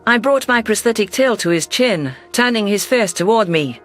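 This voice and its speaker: High-voiced man narrating science fiction. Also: expressive, moderate pace, fairly bright tone. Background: faint music.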